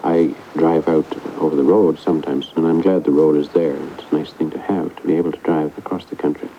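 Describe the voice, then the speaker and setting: A man's voice: very deep voice, fairly even intonation, a little fast, slight background noise. A man talking reflectively and unscripted, as in an interview, on a dull archival tape.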